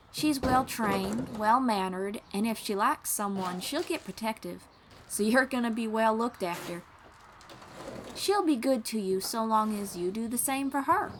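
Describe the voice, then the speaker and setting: A friendly young traveling bard played by a woman with a slightly high voice and a folksy drawl. She guides and reassures in an encouraging audio-roleplay style, over faint background noise. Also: animated, a little slow. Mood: patient, instructive. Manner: encouraging, reassuring, gently guiding. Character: friendly young female traveling bard who teaches riding. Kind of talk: second-person audio roleplay acting.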